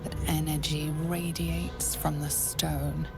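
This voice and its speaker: A woman's voice: low voice, level tone, slow, gravelly, over loud music, exaggerated voice. A woman playing an eerie mystic who intones over the dead in a video game. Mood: eerie, hushed.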